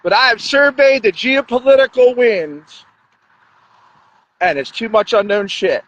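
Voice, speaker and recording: A man with a high voice delivers a profane, rambling monologue in a blunt, crude way. The sound is dull and lo-fi. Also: a little slow, fairly bright tone. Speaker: podcast host.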